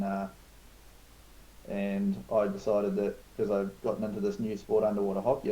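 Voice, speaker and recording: A man in his forties from New Zealand speaks in a low, warm, mellow voice. He tells stories at a relaxed pace, candid and self-deprecating, as a guest in a casual chat. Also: a little hiss or hum, rough recording. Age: 40s. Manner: laid-back storytelling, frank and self-mocking. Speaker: guest, club organizer.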